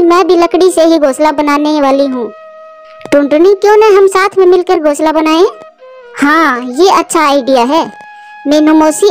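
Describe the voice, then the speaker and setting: A woman voicing excitable, chatty female birds in a children's cartoon, with a dramatic manner. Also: high-pitched, natural voice. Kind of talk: Hindi children's cartoon dialogue. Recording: music behind the voice.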